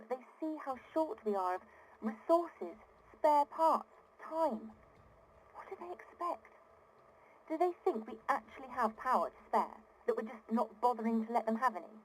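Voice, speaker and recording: A fairly high female voice from an actress plays an exasperated founder of an ocean city, recording a private diary in a video game. She is earnest, privileged and indignant, stressing her points in articulate phrases. Clear noise sits behind the recording. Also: lightly acted, rough recording. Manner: indignant, articulate, emphatic, with weary sighs. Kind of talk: dramatic video game audio diary acting.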